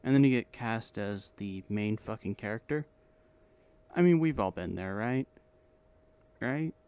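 A man's voice: fairly low pitch, expressive, measured pace, darkish tone, clean tone, no echo. A man hosting a casual vlog, chatting in a self-deprecating way. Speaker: video host and amateur voice actor.

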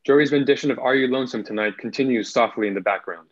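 A young man reading screenplay stage directions in a plain, measured way as narrator. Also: medium pitch, lightly acted. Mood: measured, neutral. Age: young adult. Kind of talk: scripted narration, table read. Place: small room at home, on video call. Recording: dull video call audio.

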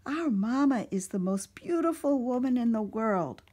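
A woman's voice: on the high side, lively intonation, normal speed, mellow, husky, voice pushed up. A woman voicing lost young children who earnestly insist on how wonderful their mother is.

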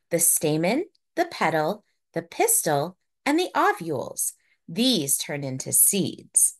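A woman narrating a children's picture book in a deliberate, expressive storytelling manner for young listeners. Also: fairly low pitch.

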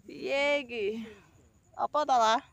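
A woman's voice: high-pitched, fairly even intonation, slow pace, gravelly. A woman in her thirties with a Javanese ngapak accent, chatting to viewers in a casual vlog, rambling and calling them guys, filmed outdoors on a phone held at arm's length. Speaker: vlogger. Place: outdoors by rice fields.

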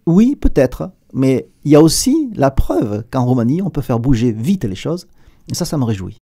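A middle-aged man with a southern French accent, a company director giving an animated, hesitant radio studio interview with repeated words. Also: mid-range voice, moderate pace, noisy background. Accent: southern French, Provençal. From southern France. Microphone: table mic with headphones.

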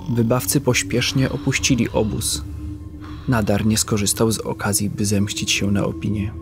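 A man's voice: on the low side, steady tone, moderate pace, music in the background. A man narrating a scripted legend in a literary, dramatic storytelling manner.

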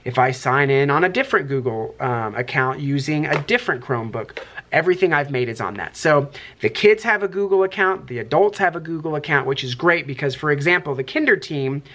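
A man's voice: on the high side, expressive, a bit bright. A man in his thirties, a kindergarten teacher, talking casually and upbeat to a camera held at arm's length in his classroom. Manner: upbeat, explains things quickly. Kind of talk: casual vlog talk to camera.

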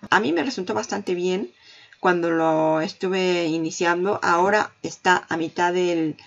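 A woman hosting a marketing tutorial, walking the viewer through software on screen and talking straight to them. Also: medium pitch, lo-fi sound. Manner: explanatory, addresses viewer directly. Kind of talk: screen-recorded software walkthrough.

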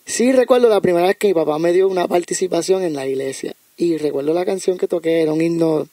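High, gravelly man. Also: quick pace, warm tone, no room sound. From United States (Connecticut). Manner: storytelling, repeats words as he thinks. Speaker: guest, music producer. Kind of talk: casual interview chat.

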